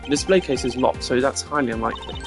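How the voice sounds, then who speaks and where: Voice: medium pitch, quick pace, lightly acted. Streamer doing a stuffy proper prosecutor guy from a video game, clipped n haughty, easily annoyed, music behind. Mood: deductive, formal. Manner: formal, precise, clipped and haughty. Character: stern, proper male prosecutor, analytical and easily exasperated.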